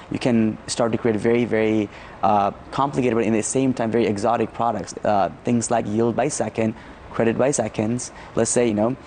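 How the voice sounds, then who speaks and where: A man's voice: medium pitch, darkish tone, slight background noise. A man from Nepal answering interview questions as a startup founder, often repeating words and checking in with "right?".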